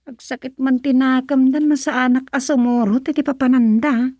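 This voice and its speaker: A woman's voice: high-pitched, steady tone, moderate pace, warm tone, dry recording, clean studio sound. A woman playing a reluctant, grumbling young daughter in an Ilocano radio comedy drama, whiny and complaining.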